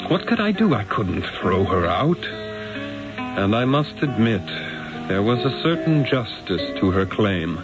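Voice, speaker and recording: Theatrical, wily, low-voiced Renaissance painter. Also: male voice, very animated, takes it slowly. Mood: wry, brooding. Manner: theatrical, persuasive, rhetorical. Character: Leonardo, wily eloquent male painter in Renaissance Florence. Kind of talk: old-time radio drama acting and first-person narration. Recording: dull lo-fi radio recording, music behind.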